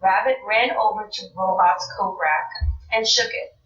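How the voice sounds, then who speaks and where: A woman reading a children's story aloud in a deliberate, expressive narrating style. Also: mid-range voice, muffled recording. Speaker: storybook reader. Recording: roomy, distant mic, faint noise.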